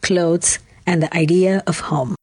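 A woman hosting a podcast, reading scripted narration and asking interview questions in a measured way. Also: on the low side, fairly even intonation, noisy background. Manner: measured, clearly read narration. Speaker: podcast host.

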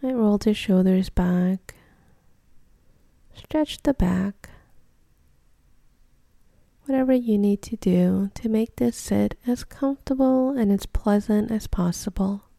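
A woman leading a guided meditation in a gentle, soothing, breathy near-whisper with long pauses. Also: mid-range voice, dry recording, studio quality.

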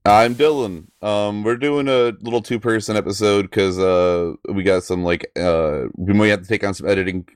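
Male podcast co-host, lowish voice, dry sound, casual conversational read. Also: steady tone, moderate pace, hardly any pauses, clear, resonant tone, dry recording. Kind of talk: casual podcast chat about a cartoon.

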